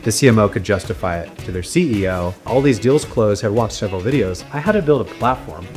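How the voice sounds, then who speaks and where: Man hosting a podcast chat, lowish voice. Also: lively intonation, quick pace, darkish tone, soft background music. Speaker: podcast host. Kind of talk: casual interview chat.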